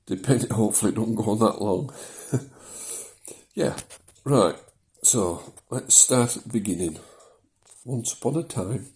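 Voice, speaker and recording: A man in his seventies with a softened South Yorkshire accent talks into a mobile phone from his living room. His voice sits fairly low and has a mellow, relaxed tone. He rambles unhurriedly, with plenty of ums and pauses. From South Yorkshire, England. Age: 70s. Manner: rambling, hesitant, with many ums and small laughs. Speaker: vlogger. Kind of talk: casual personal vlog.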